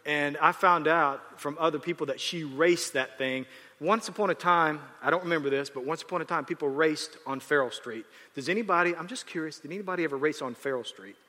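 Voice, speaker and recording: Male pastor, fairly high, bright, forceful voice; rambling conversational sermon with long hall reverb. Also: fairly quick. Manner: rambling, self-correcting, laughs at times.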